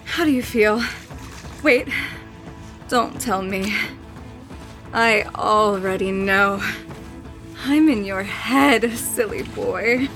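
An actress plays a sinister, seductive female spirit in a horror audio drama. She speaks extremely slowly in a breathy, knowing voice. Faint music sits behind her coaxing words. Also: medium pitch, a bit bright. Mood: seductive, menacing.

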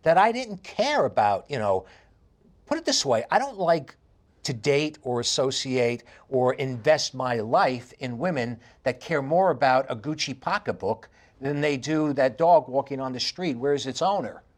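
A man's voice: mid-range voice, measured pace. New york guy in his 50s, chatty interview guest, punchy and emphatic. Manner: animated, emphatic storytelling. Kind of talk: candid conversational interview.

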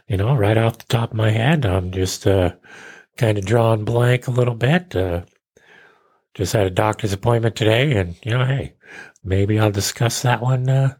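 Man, fifties, lowish pitch, husky tone, casual solo host, rambling, self-deprecating joker. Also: normal speed, a little mellow. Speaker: podcast host.

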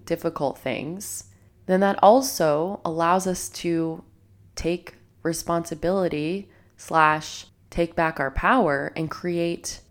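A young woman hosting a solo self-help podcast, slightly nasal and sniffly from a cold, speaking in an earnest, reflective way. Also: low voice. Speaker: podcast host.

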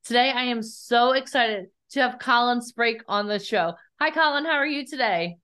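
A woman's voice: on the high side, normal speed, fairly bright tone, smooth. A woman hosting a podcast, chatting in an effusive, friendly way with her guest. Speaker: podcast host. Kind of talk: casual interview talk.

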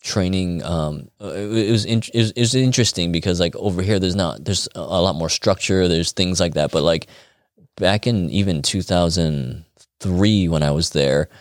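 A man's voice: sits low, normal speed, dry recording, clean studio sound. An American man, an interview guest, telling stories in loose, colloquial conversation and acting out bits of dialogue as he goes. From United States. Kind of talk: casual conversational storytelling.

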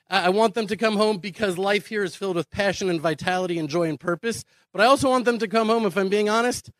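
American male advocate, high voice, dry close sound; impassioned, emphatic public speaking. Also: a bit bright, dry recording. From Houston, Texas, United States. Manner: earnest, emphatic, repeats phrases, rhetorical questions. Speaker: speaker advocating immigration to Israel. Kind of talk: impassioned conference speech.

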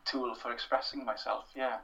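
Soft-spoken Welsh man, a little distant. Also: mid-range voice, steady tone, moderate pace, vocal fry, muffled recording. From Wales. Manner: thoughtful, self-deprecating, many fillers and restarts. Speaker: interview guest, writer. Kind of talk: casual interview.

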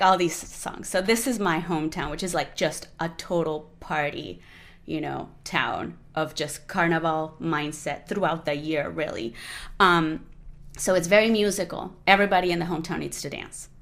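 Colombian woman in her 40s, Spanish-native, animated chatty guest, slightly low pitch. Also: vocal fry. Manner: animated, lots of fillers like "you know". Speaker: guest musician. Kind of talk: casual interview conversation.

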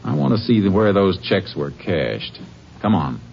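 An American actor playing a calm, determined Texas Ranger investigator in a 1950s radio drama, with terse, matter-of-fact delivery. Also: male voice, deep voice, unhurried, creaky, heavily acted. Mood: businesslike, probing. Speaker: lead actor in radio western. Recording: noisy, muffled old 1950s radio recording.

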